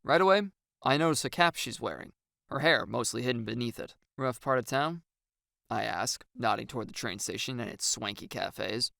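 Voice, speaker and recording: An audiobook narrator tells a first-person story and acts out the lines with wry expression. The voice has a noticeable creak and sits close, with no room sound around it. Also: mid-range voice, moderate pace, sharp tone, dry recording.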